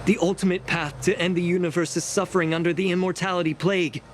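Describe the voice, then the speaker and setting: An actor playing a grave, self-righteous, scheming elder of a dragon race in a fantasy game, delivering formal speeches in a declamatory way. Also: male voice, high voice, steady tone, fast talker, slight background noise, natural voice. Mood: grandiose, persuasive. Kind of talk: scripted fantasy game voice acting. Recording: loud music behind. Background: game music.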